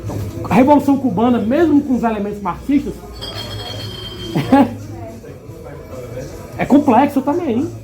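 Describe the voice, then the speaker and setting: A man's voice: very high-pitched, very animated, a little slow, long pauses, dark tone, rough recording. Rambling man, music behind. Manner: rambling, many restarts and asides. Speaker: panel guest. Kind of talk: casual discussion.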